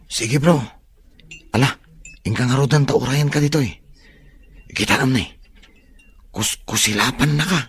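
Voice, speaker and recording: Easygoing young man, slightly husky. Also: mid-range voice, measured pace, long pauses, fairly bright tone, full character voice. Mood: teasing, puzzled. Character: easygoing young male student, teasing buddy. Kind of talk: Ilocano radio drama acting.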